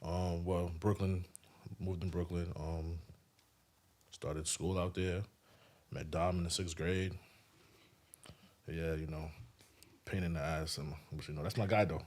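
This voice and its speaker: A young man from Haiti whose first language was French, telling his story as a guest in a relaxed, slangy podcast chat. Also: deep voice, measured pace, pauses often. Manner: laid-back, slangy storytelling. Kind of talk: casual chat.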